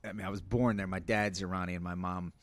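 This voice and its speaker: A man's voice: deep voice, level tone, dry recording. A comedian guest, a man born in Iran and raised in the United States, talking casually with many fillers and restarts. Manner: halting, many fillers and restarts, soft-spoken. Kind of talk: casual podcast chat.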